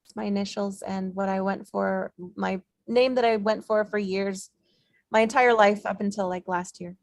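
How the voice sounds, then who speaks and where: A woman talks casually in a mid-pitched voice with a lot of vocal fry. She rambles and corrects herself as she goes, in a dry, close sound. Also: steady tone, moderate pace, no echo. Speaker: screenwriter. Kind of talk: casual chat.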